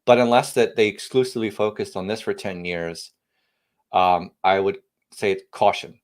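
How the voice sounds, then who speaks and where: Very low male voice; tech-company founder in interview, loose and emphatic, many self-corrections. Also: normal speed. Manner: rambling, frequent restarts, emphatic bursts. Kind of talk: podcast interview, casual technical talk.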